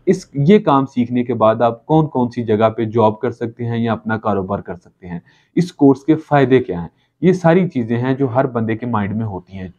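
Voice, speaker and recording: A man's voice: medium pitch, darkish tone. Pakistani man presenting, dull lo-fi sound. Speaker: college channel presenter. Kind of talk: promotional talk to camera. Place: small office, indoors. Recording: dull lo-fi camera audio.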